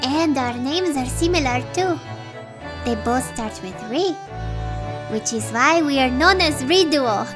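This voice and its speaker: A woman playing a gentle, modest young female healer, polite and slightly formal, in a fan audio drama. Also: high voice, expressive, measured pace, music in the background. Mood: friendly.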